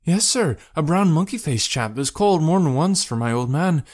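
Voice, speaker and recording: A man's voice: on the high side, animated, moderate pace, darkish tone, pitched above the performer's own voice. A man voicing an anxious, talkative working-class English wife in an audiobook, folksy and deferential. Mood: chatty, worried. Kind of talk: audiobook character voice.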